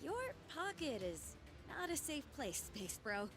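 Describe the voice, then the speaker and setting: A woman playing a sassy, quick-witted young heroine in a video game cutscene. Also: high-pitched, sing-song. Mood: scolding. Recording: music underneath.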